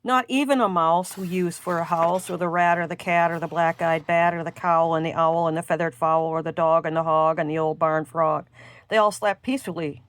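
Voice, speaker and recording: A woman reading a rhyming children's storybook aloud in a singsong, expressive, drawn-out way. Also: on the low side, slight background noise. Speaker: storybook reader.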